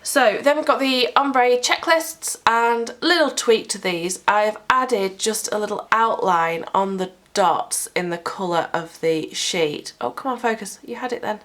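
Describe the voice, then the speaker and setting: A woman's voice: medium pitch, unhurried, few pauses, fairly bright tone. A British woman with a cold, chattily walking through her planner sticker designs in a product vlog. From United Kingdom. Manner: chatty, explaining item by item. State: sniffly with a cold. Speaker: sticker shop owner.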